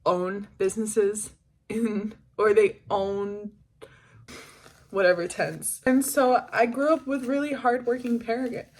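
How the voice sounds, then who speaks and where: A young woman of about twenty speaks in a medium-pitched voice, as in a personal vlog. She is from the Caribbean and talks in a casual, candid way, wandering between thoughts and adding emphasis as she goes. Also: slow pace, a little mellow. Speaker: vlogger.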